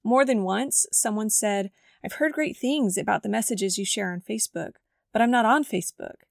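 A woman with a scratchy, gravelly voice hosts a devotional podcast at a middle pitch. She reads her personal story from a script in an earnest, reflective way. The close mic gives a dry sound with no room echo. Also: normal speed. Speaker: podcast host.

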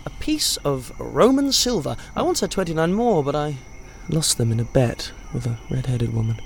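Man acting a sardonic charmer, higher male voice, theatrical swoops, teasing, audio drama, insects and ambience behind. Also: animated, normal speed, creaky, slight background noise. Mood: sly. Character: flippant, charming, theatrical male hellish wanderer named Serenity.

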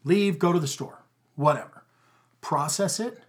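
A middle-aged man with a loud voice, talking emphatically in a casual podcast conversation and repeating his key words. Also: a little high, normal speed. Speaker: podcast guest, father.

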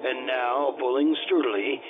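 A man playing an anxious, kindly gentleman who narrates a Gothic horror tale in formal, dramatic old-time radio style. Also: mid-range voice, soft background music, natural voice. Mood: dramatic reading. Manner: formal, dramatic, deliberate, with nervous stammers. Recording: noisy, phone-like old-time radio sound.

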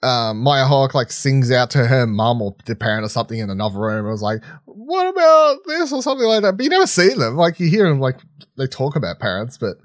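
A man with a fairly low voice talks as a podcast co-host. He rambles through his opinions in a loose, sweary, conversational style, recorded dry and close. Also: big pitch swings, fairly quick, dry recording. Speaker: podcast co-host reviewing films and series. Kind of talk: casual unscripted film chat.

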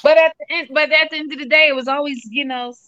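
A woman's voice: high voice, fairly even intonation, fast talker, bright, forceful tone, no echo, lo-fi sound. A woman from North Carolina chatting casually. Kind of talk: casual chat.

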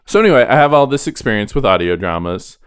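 Guy podcast host, lowish smooth voice, dry close sound, chatty and kinda self deprecating. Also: very animated, fast, dry recording. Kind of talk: casual podcast chat.

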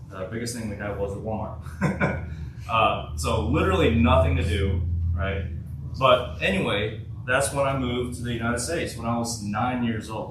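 A man in his twenties from South Korea, a native Korean speaker, talks to a youth group in a loose, chatty way and keeps checking in with them. His voice sits a little low and reaches the mic from some distance. The large hall around him rings with strong echo, and a clear room hum runs underneath. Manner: conversational, asks the audience many questions. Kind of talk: youth workshop talk. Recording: echoey hall, voice from far off.

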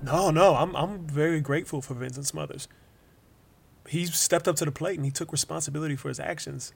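An actor playing a weary but forgiving wrongfully imprisoned young man in an interview-style drama monologue, halting and informal, repeating words. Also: on the high side, vocal fry, a little hiss or hum. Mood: grateful, earnest.

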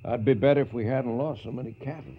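An actor playing a steady, fatherly veteran cattle drover in a radio western, measured and matter-of-fact, with an American accent. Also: mid-range voice, lo-fi sound, a little hiss or hum, close to an everyday voice. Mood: calm.

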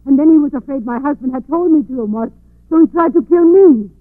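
An anxious woman in a 1940s radio mystery. Her voice is extremely high and high-strung, full of melodramatic distress. It comes through thin, like an old broadcast. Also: animated, brisk, no echo. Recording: muffled narrow-band 1940s radio recording.

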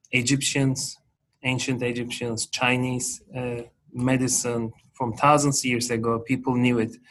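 A man's voice: mid-range voice, a bit bright, rough recording. A man in his forties with a Turkish accent, a guest researcher answering interview questions earnestly and methodically, with small restarts. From Turkey.

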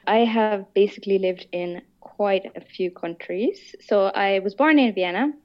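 Woman, mid-twenties, from Austria and Ireland, podcast guest, casual, medium pitch, slightly muffled lo-fi audio. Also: unhurried. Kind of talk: casual interview talk.

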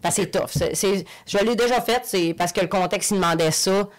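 A woman's voice: mid-range voice, a little fast. A woman comedian from Lac-Saint-Jean with a Quebec French accent, telling stories in a chatty way and laughing often as a podcast guest. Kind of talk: casual podcast conversation.

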